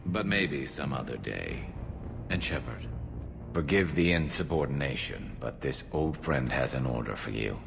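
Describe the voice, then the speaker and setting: A man voicing a wry, loyal alien soldier in a video game, with a gravelly, metallically doubled voice and a dry, confiding manner. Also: very deep voice, animated, a little slow, full character voice. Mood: tender, earnest. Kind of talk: video game dramatic acting. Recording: long reverb, music behind.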